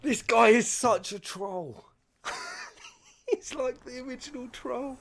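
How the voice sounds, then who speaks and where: A man hosting a reaction video, slangy and excitable, talking to viewers over game audio. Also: extremely high pitch, slow pace, gravelly. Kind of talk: casual live reaction commentary.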